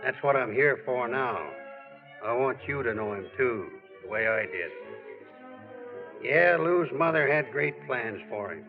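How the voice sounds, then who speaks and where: An actor playing a plain-spoken, friendly sportswriter, narrating in a conversational, reminiscing way in a 1940s radio drama. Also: male voice, medium pitch, lively intonation, unhurried, lightly acted. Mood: reminiscing, fond. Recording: dull old radio recording, music behind.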